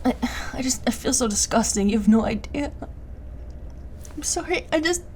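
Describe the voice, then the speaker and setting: Actress doing flustered young woman in an audio roleplay, high voice, stammers a lot, sorry but stubborn, bit of background noise. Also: expressive, mellow, lightly acted. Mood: embarrassed, upset. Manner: halting, stammering, breathy asides to a partner. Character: distressed young woman turning slimy, apologetic, stubbornly determined. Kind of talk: intimate audio roleplay acting.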